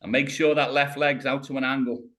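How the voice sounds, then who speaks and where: A British man in his fifties coaching a client through floor exercises on a video call, calm and encouraging, step by step. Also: mid-range voice, fairly quick. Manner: encouraging, talks a client through each movement step by step, says mate. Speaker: rehab coach. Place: home room, on the floor. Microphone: video call webcam.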